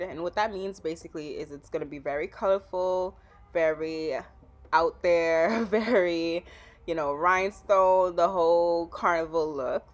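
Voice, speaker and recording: A woman with a somewhat low voice talks viewers through a makeup look. Her delivery is easygoing and chatty, full of casual fillers, like a vlogger narrating over her own footage. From Trinidad and Tobago. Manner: chatty, relaxed, with frequent fillers.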